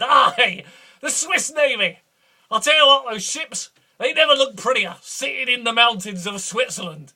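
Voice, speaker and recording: Brief: a man voicing a boastful duke, husky, high and swooping, full of bluster. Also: bright, forceful tone, heavily acted. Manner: rambling, bombastic, boastful. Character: boastful blustering male Scottish duke, belligerent. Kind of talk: improvised comic character monologue.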